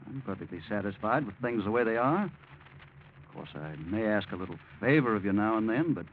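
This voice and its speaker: A man acting as a cocky young schemer in an old radio play. His pitch swings freely as he soothes and taunts with slick false comfort. The recording is muffled, with background hiss and noise. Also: expressive, brisk, close to an everyday voice. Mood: slyly reassuring. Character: smooth, cocky young male blackmailer, mocking and scheming. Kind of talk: 1940s radio drama acting.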